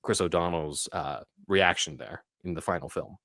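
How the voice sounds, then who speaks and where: A man with a very low voice hosts a casual film podcast. He explains things scene by scene in a halting, conversational manner. The sound is dry and close. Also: fairly bright tone, no room sound. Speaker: podcast host.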